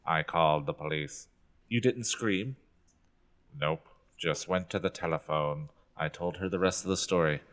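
Male podcast reader with a low voice, casual and playful, mixing book narration with asides. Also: unhurried, fairly bright tone. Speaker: podcast co-host. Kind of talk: reading a novel aloud with joking asides.

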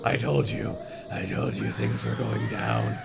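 A man voicing a menacing, angry young boyfriend in a breathy, urgent rasp, barking commands in a comic book reading. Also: on the low side, steady tone, a little slow, over music, voice pushed up.

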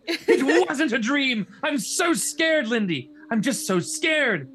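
A man's voice: mid-range voice, lively intonation, moderate pace, a bit bright, breathy edge, voice pushed up. A man voicing a frightened, hysterical young girl who pleads with her twin in a storybook read-aloud. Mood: hysterical, scared. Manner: stammering, shrieking, pleading.

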